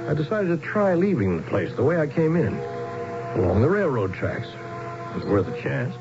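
An actor playing a skeptical, down-to-earth outsider with a plain-spoken, wry manner in a radio drama. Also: male voice, a little high, very animated, normal speed, vocal fry. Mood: worried, reflective. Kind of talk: radio drama acting with first-person narration. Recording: muffled radio broadcast with music.

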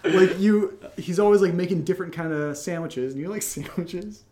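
A man co-hosting a podcast in casual chat, even-keeled, with dry, teasing humour. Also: high voice, normal speed. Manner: level-headed, even-keeled. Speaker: co-host of a horror audio drama podcast, reading listener questions.

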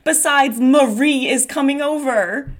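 A woman's voice: sits high, measured pace, voice pushed up. A woman voicing a playfully scolding 1910s policeman's sweetheart, coy and melodramatic, in a play reading. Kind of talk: play table read.

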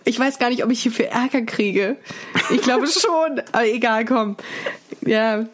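A woman's voice: a little high, a little slow. A woman hosting a podcast in casual chat, informal and blunt. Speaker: podcast host.

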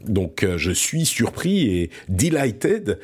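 A man's voice: fairly low pitch, big pitch swings, slow pace, husky. A man from France hosting a podcast, chatting casually about pop culture and often searching for words as he restarts his sentences. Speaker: podcast host.

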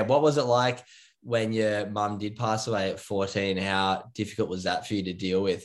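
A man hosting a podcast, asking his guest questions in an encouraging, friendly way. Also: sits low. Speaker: podcast host. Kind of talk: casual interview questions.

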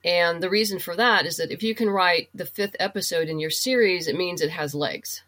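A woman's voice: fairly low pitch, fairly quick, a bit bright. A middle-aged woman chatting and explaining things casually as a guest in an interview. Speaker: podcast guest, writer and producer.

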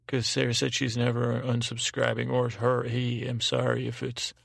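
A man hosting a bedtime podcast in a meandering, halting monologue, repeating himself and trailing off, gently self-deprecating. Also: mid-range voice, monotone, creaky, dry recording, studio quality. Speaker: podcast host. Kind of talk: rambling sleep-podcast monologue with listener thanks.

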